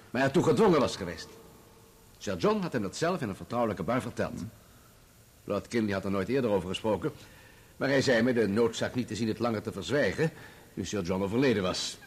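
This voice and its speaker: An actor playing a composed, matter-of-fact journalist giving measured, formal testimony in a radio drama. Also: male voice, mid-range voice, breathy edge. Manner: measured, formal, factual recounting.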